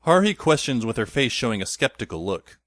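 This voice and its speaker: A man's voice: medium pitch, big pitch swings, quick pace, a bit bright, no echo. A man playing a wry teenage schoolboy who narrates an audio drama in a dry, descriptive way.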